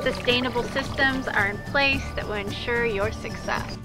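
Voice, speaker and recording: Earnest woman pitching her homestead. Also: normal speed, far from the mic, loud music behind the voice. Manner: earnest, inviting sales pitch. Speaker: homeowner presenting her property for sale. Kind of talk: scripted promotional voice-over.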